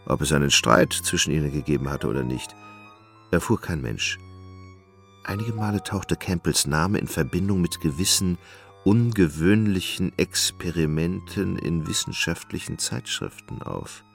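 Very deep, gravelly male narrator. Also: animated, soft background music. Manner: measured, storytelling. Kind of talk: literary scripted narration in a radio drama.

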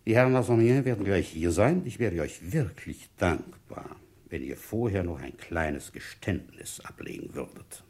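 A man playing a shrewd, wry village police inspector in a radio play, deliberate and brooding as he tells his case. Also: low-pitched, animated, darkish tone, husky. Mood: firm, accusing. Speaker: narrator and lead actor. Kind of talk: radio play, first-person detective narration.

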